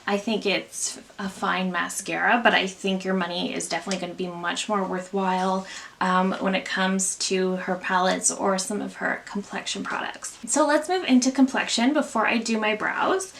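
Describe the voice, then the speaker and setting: A young woman talks through her makeup routine in a relaxed, candid way, giving frank opinions on each product. Her voice has a noticeable gravelly fry, and she sounds a little congested from allergies. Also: medium pitch, normal speed, a little hiss or hum. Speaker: beauty vlogger. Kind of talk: casual makeup tutorial chat.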